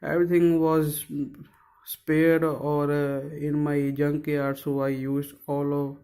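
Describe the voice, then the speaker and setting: Slow, halting man. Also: fairly high pitch, monotone, dark tone. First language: Punjabi. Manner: hesitant, searching for words, many fillers. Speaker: DIY maker. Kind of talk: casual how-to narration.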